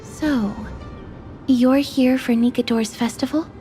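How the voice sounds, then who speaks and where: An actress playing a composed, observant travelling companion in a video game, polite, measured and formal. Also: female voice, sits high, fairly even intonation, warm tone, faint music behind. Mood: calm, observant.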